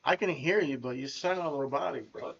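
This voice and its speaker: A man co-hosts a loose podcast conversation, blunt and animated, full of slang. His voice sits a bit high for a man. The recording sounds dull and lo-fi, like a remote call. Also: moderate pace, creaky. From United States. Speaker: podcast co-host. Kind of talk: casual chat.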